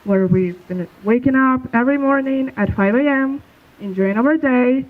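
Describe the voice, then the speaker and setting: A young man from Belarus presenting a school project in a casual, slightly halting way. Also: very high voice. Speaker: student presenter. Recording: tinny phone-like sound.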